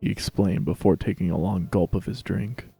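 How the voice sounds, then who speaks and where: A man's voice: low-pitched, fairly even intonation, moderate pace, creaky, warm tone, husky, no echo, soft background music. A man narrating a dramatic reading of fan fiction.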